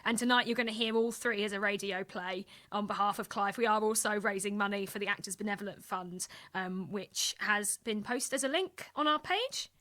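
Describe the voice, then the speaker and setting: A woman's voice: medium pitch, fairly quick, hardly any pauses, bright, forceful tone. A woman co-hosting a live stream in casual, conversational talk. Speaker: co-host. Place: online group call.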